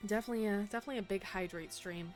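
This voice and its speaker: A woman's voice: medium pitch, rapid-fire, a little mellow, soft background music. A young adult woman streaming a game, chatting casually in a playful, teasing way and laughing often.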